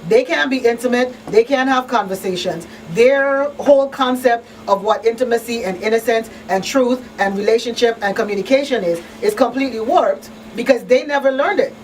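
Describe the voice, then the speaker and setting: A woman's voice: on the high side, brisk, few pauses. A woman hosting a live call-in talk show, speaking emphatically and conversationally, often checking that listeners follow her. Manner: emphatic, conversational, checks in with "you know what I mean". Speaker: talk show host and crisis counselor. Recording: distant mic, faint background noise.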